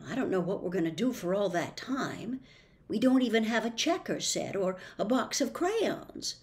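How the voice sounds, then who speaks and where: A woman's voice: medium pitch, big pitch swings, normal speed, a little mellow. A woman voicing an earnest young boy in a storybook, plain-spoken and a little timid. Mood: sad.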